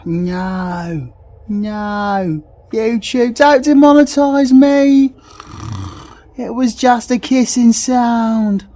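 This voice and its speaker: A man's voice: extremely high pitch, extremely slow, dark tone, full character voice. A British man playing a foul-mouthed, sarcastic villain cast as a grumpy Scrooge in a comedic audio roleplay, ranting theatrically.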